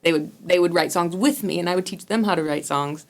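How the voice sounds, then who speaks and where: A woman guest on a radio talk show, a children's musician and former teacher, talking in a relaxed, chatty way and laughing easily. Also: on the low side, a little fast. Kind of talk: casual radio interview.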